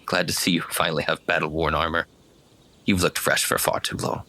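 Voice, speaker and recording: A man's voice: very deep voice, flat delivery, a little fast, bright, forceful tone. A man voicing a stern but caring woman warrior mentor in a tabletop game, with commanding, solemn encouragement. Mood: firm, dry.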